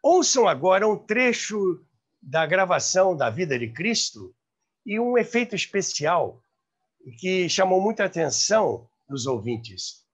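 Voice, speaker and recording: Male presenter, seventies, Brazilian accent, husky and very lively, recounting history to camera. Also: sits high, unhurried. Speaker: host. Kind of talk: storytelling about radio history, to camera. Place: room by a large window, indoors. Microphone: lapel mic.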